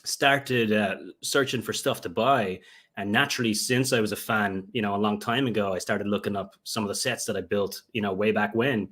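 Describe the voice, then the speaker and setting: A man's voice: on the low side, steady tone, moderate pace, fairly bright tone, husky. A young Irish man answering interview questions at length, rambling with many fillers and restarts. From Ireland. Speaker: guest, Lego investor.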